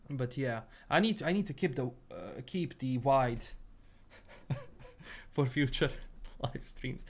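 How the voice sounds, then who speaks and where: A young man from Bosnia with a Bosnian accent, chatting casually on a livestream and rambling with lots of fillers and restarts. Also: fairly high pitch. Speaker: streamer. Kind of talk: casual livestream chat, reading chat.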